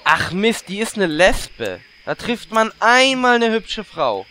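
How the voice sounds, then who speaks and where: Guy doing a flirty cocky orc, slightly high, really animated, comedy audio drama. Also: slow pace, a little hiss or hum, full character voice. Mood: lecherous. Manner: brash, flirty. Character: cocky, lecherous male orc warlock.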